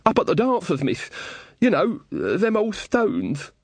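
A man's voice: sits high, wildly swooping pitch, a little slow, vocal fry, slightly rough, no room sound, voice pushed up, exaggerated voice. A man voicing a frightened, simple village man for a storybook, with hesitant, deferential, rustic speech. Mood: bewildered, nervous.

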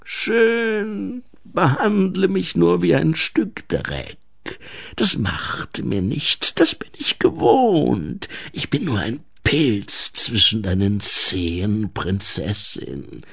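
A man voicing a sly, easily offended male forest gnome in a storybook, with a theatrical, wheedling, drawn-out delivery. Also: on the high side, big pitch swings, vocal fry, fairly bright tone, exaggerated voice. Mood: wounded, self-pitying.

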